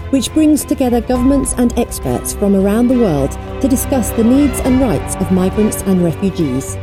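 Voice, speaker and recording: A woman reading scripted documentary narration in a measured, formal way. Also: medium pitch. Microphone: studio voice-over.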